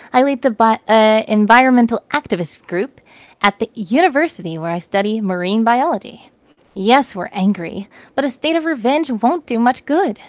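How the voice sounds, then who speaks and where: A woman's voice: fairly high pitch, no echo, close to an everyday voice. Woman reader as young woman activist, fervent student, storybook, dry close sound.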